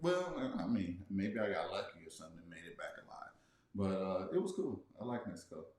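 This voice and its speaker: A man's voice: deep voice, sing-song, fairly quick, darkish tone. A man chatting casually and conversationally as a laid-back guest on a livestream Q&A. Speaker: guest, travel vlogger. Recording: very echoey room.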